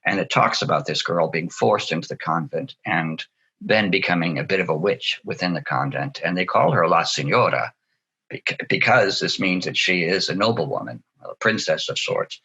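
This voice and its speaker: A low-pitched man with a husky, breathy edge and a forceful brightness to his tone, chatting loosely in an interview. He keeps the talk casual and full of "you know"s, and the recording sounds dull and lo-fi. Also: animated, normal speed. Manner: chatty, leans on "you know", laughs easily. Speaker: translator and interpreter, guest. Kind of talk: relaxed conversational interview.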